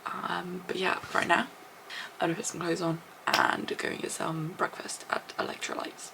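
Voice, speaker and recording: A young English woman vlogging casually to a handheld camera, chatty and rambling, her voice a little husky and deep, sometimes dropping to a soft whisper. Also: expressive, moderate pace, background noise. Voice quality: slightly deep, husky morning voice. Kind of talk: casual vlog chat to camera. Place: at home and outdoors after a run. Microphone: small handheld camera.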